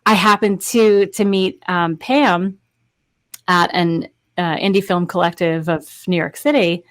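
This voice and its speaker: Low-pitched woman telling anecdotes casually. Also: steady tone, unhurried, fairly bright tone, no room sound. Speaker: voice actor, panel guest. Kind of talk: casual anecdotal panel talk. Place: indoor home recording space, video call.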